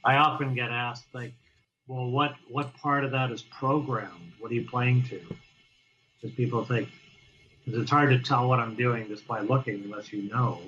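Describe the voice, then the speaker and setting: A man talking casually as an interview guest, halting and restarting often as he explains how he plays drums. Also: mid-range voice, fairly even intonation, fairly bright tone, highs cut off, soft background music. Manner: halting, many restarts and fillers. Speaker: guest drummer.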